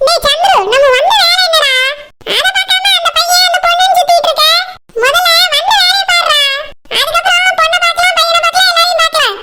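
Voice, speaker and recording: Casting: cocky, simple male cartoon sidekick, extremely high pitched-up squeak, rapid chatter, noisy track. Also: fairly even intonation. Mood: cautioning.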